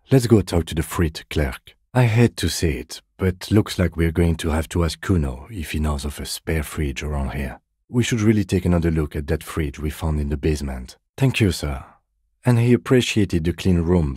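Middle-aged detective from a game, really deep husky voice, deadpan and polite, very dry close sound. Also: male voice, no echo, full character voice. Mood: wry, lifted. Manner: measured, deadpan, politely formal. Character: calm, dry, dutiful middle-aged male police detective. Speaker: video game voice actor. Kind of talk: scripted game character dialogue.

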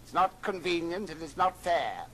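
An actor playing a scornful, cold-hearted old miser, curt and grumbling, in old radio drama style. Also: male voice, a little high, lively intonation, moderate pace, bright, forceful tone. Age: older. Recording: noisy old record transfer.

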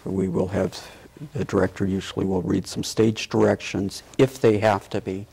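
A middle-aged man with a gravelly, husky voice, explaining things in a halting, measured way as an interview guest. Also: sits low, little pitch movement, darkish tone. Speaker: theatre board member and director, guest. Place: small TV studio, seated at a table. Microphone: lapel mic.

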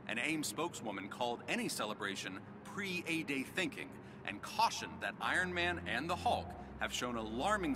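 A man playing a grave TV news anchor, reading a report in a formal, measured delivery. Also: mid-range voice, background noise, close to an everyday voice.

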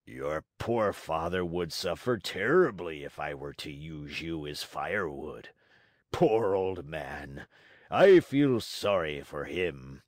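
A man voicing a huge, gruff, bearded puppet master with a hoarse voice, blustering but soft-hearted, in a storybook reading. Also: a bass voice, expressive, slow, no room sound, studio quality, pitched below the performer's own voice, exaggerated voice. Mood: gruff, self-pitying.

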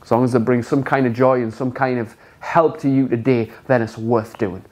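A man's voice: medium pitch, steady tone, normal speed, a little mellow. A man in his thirties giving an unscripted, motivational talk to the camera with a congested, stuffy nose, speaking frankly and emphatically and swearing often. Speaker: motivational vlogger. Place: indoor studio with brick wall.

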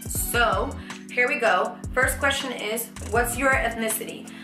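A woman's voice: a little high, measured pace, soft background music. Chatty young woman vlogging in an echoey room. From United States. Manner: chatty, animated, lots of fillers and restarts. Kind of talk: casual Q&A vlog. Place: small bedroom with shelves, indoors.

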